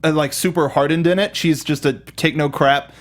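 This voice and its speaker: A man's voice: a little high, steady tone, moderate pace. A man co-hosting a review show, chatting casually and animatedly about films. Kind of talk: casual film discussion.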